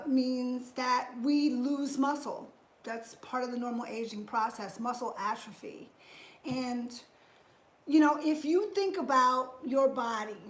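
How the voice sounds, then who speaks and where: Woman, fifties, live-stream fitness host; high voice, slow and chatty, greets viewers by name, roomy echo. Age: 50s. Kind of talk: live-stream casual talk. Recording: echoey room.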